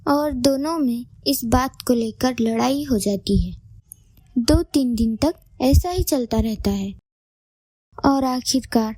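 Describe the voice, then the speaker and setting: Slow, high-voiced woman storyteller. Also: warm tone, no room sound. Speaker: story narrator. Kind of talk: scripted children's moral story narration.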